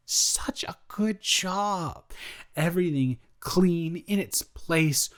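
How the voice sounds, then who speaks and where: A young man playing a sheepish, playfully defensive roommate in an improvised romantic audio roleplay, talking casually in halting, self-interrupting rambles and answering his own questions. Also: on the high side. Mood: cozy, sheepish.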